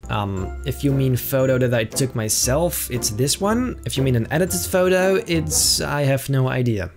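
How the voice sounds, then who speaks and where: A man's voice: medium pitch, moderate pace. Young man chatting casually over music. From Netherlands. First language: Dutch. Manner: relaxed, hesitant, with frequent fillers and trailing asides. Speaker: YouTuber answering viewer questions. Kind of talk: casual Q&A chat. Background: background music.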